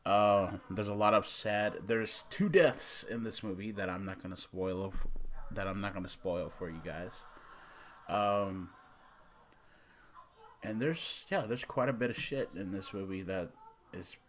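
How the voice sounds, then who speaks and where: A man in his thirties giving a casual, unscripted movie review as a vlog host, rambling and halting, with some mild swearing. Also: lowish voice, a bit bright. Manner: rambling, halting, mildly profane. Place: small room indoors at home.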